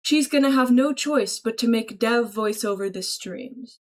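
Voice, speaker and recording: Female streamer, higher-pitched, informal and slangy, chummily thanking and answering her chat. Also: unhurried. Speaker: livestreamer greeting chat. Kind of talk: casual livestream chat, reading and answering viewer messages aloud.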